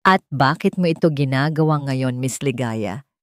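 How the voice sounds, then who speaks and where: A woman's voice: very deep voice, moderate pace, dry recording, voice pushed down. Woman voicing a formal, probing courtroom lawyer. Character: composed, probing lawyer questioning witnesses in court.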